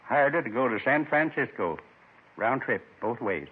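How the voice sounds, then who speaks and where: A muffled 1950s radio drama recording carries a lonely old man in his seventies. His voice is husky, his manner gentle and folksy, and he rambles wistfully in short, plain phrases as he confesses. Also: medium pitch, animated, moderate pace. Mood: earnest. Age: 70s.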